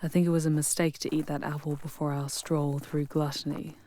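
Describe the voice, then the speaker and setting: A woman playing a charming, haughty demon guide through hell in a scripted ASMR roleplay, speaking in formal, measured, archaic phrases. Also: sits low, flat delivery, mellow, natural voice. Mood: queasy, weary.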